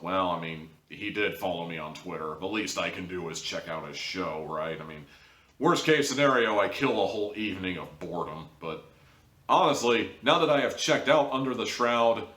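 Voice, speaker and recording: A man with a notably low voice gives a casual, chatty review to the listener. His delivery is informal and peppered with emphatic asides. The recording sounds clearly roomy and echoey. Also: fairly bright tone. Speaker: host and reviewer of audio dramas.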